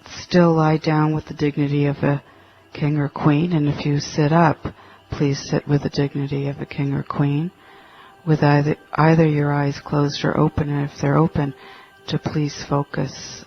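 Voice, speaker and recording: A man leading a guided meditation in a gentle, halting manner, leaving long pauses between short phrases. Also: on the high side, completely flat delivery. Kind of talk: guided meditation, spoken instructions. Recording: dull lo-fi audio with faint music.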